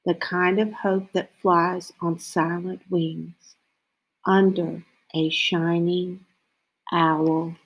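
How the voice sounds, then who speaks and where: A woman reading a children's story aloud in a deliberate way, with frequent pauses between short phrases. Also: lowish voice, little pitch movement, breathy edge, rough recording. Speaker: storybook reader.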